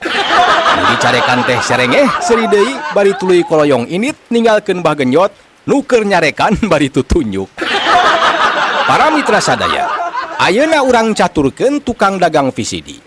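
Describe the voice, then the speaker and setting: A man with a Sundanese accent telling a comic folk tale in an animated storytelling style. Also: high-pitched, moderate pace, very noisy.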